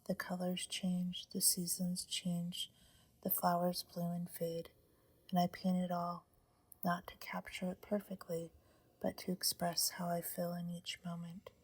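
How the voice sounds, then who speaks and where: A woman voicing a serene old male painter with a soft put-on French accent, speaking in a slow, reflective whisper. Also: on the low side, completely flat delivery, breathy edge.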